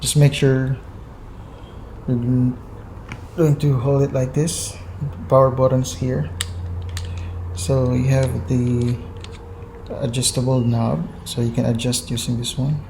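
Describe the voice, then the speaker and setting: Filipino man with a Visayan accent, slow, flat delivery, hesitant, faint background hum, phone chest-mic at home. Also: mid-range voice, vocal fry. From Philippines, Visayas. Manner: hesitant, many ums and restarts, mixing in Tagalog. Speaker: vlogger. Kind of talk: casual gear show-and-tell. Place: indoors at home, kitchen. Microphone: phone camera on a chest mount.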